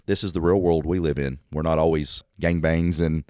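Man, hoarse, raspy, very low voice, casual podcast chat, dry close sound. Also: fairly even intonation, normal speed, darkish tone, dry recording. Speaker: podcast co-host.

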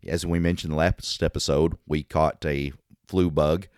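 Man, hoarse, raspy, very low voice, casual podcast chat, dry close sound. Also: fairly even intonation, moderate pace, darkish tone, dry recording. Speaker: podcast co-host.

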